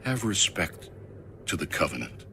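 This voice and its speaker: A man reading scripture aloud in a solemn, formal, measured and dramatic style, as an audio Bible narrator. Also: low-pitched, sing-song, gravelly, noisy background. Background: background music.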